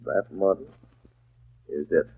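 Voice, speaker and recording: An actor playing a masked vigilante posing as a menacing crime boss, commanding and clipped, in 1940s radio style. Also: male voice, low-pitched, slow, slightly rough, heavily acted. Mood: threatening, commanding. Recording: muffled, tinny 1940s radio recording.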